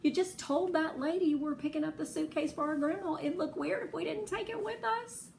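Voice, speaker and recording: Woman acting an excitable, bold young storybook child. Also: very high-pitched, fairly quick, gravelly, a little hiss or hum, pitched above the performer's own voice. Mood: anxious. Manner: exclamatory, dramatic.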